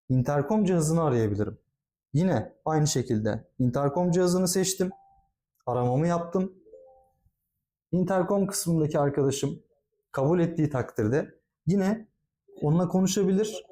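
A man's voice: on the high side, warm tone. A man giving a product demonstration as a company presenter, speaking politely and formally, explaining things step by step.

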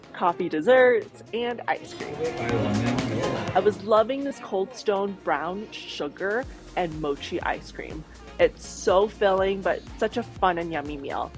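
A woman narrating a travel vlog voice-over in an informative, upbeat tour-guide manner. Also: mid-range voice. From Taiwan. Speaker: travel vlogger. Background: faint background music.

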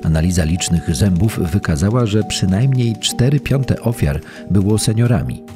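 An actor playing a sober forensic investigator, formally reading out a report for a documentary. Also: male voice, on the low side, animated, moderate pace, music in the background, close to an everyday voice. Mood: sober, clinical. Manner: formal, clinical.

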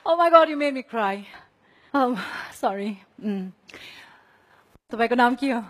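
A woman's voice: a little high, animated, quick pace. A woman coach on a TV singing contest giving effusive, pleading, emphatic feedback, mixing Nepali and English. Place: large indoor TV studio stage set. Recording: crowd noise in TV studio.

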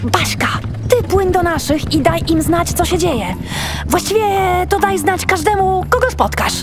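A woman voicing a headstrong, excitable young female seal in an audiobook, bossy and dramatic, speaking in exclamations. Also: very high voice, unhurried, over music. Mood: urgent, commanding. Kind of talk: theatrical audiobook acting.

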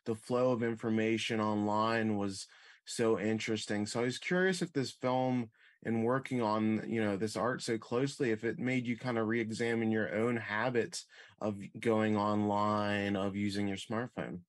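A man's voice: lowish voice, fairly even intonation, normal speed, dry recording. A man working as an entertainment journalist, asking conversational interview questions.

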